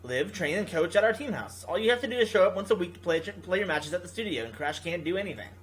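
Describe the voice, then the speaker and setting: Casting: confident woman team leader for a visual novel voiced by the person reading it, mid-pitched, driven, persuasive, teasing. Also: expressive, fast, slight background noise, lightly acted, low-quality recording.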